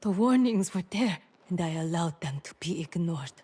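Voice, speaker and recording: A woman playing a dutiful female town leader in a fantasy game, speaking in a measured, formal and earnest way. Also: fairly low pitch, expressive, noisy background, close to an everyday voice. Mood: dismayed. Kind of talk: scripted fantasy game dialogue.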